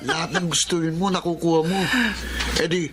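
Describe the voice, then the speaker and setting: An actor as a flattering young sidekick in a Filipino radio drama. His casual voice is a little high, with loud noise and clinking cutlery behind it. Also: moderate pace, lightly acted. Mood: casual, admiring. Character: villain's admiring young male sidekick friend.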